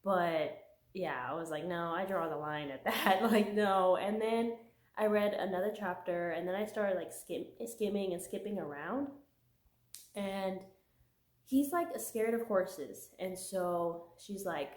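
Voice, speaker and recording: A woman's voice: medium pitch, measured pace, echoey room. A young woman with a worn voice from a cold, chatting casually about her month's reading, with many fillers. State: getting over a cold, voice giving out. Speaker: book vlogger. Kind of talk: casual chat.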